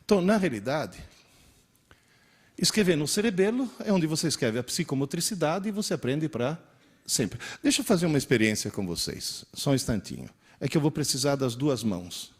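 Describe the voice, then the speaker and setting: A man's voice: fairly high pitch, brisk, breathy edge. A man in his sixties, born in Italy with Italian as his first language, gives an interactive lecture to teachers. He tells stories and quizzes the audience. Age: 60s. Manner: animated, storytelling, quizzes the audience.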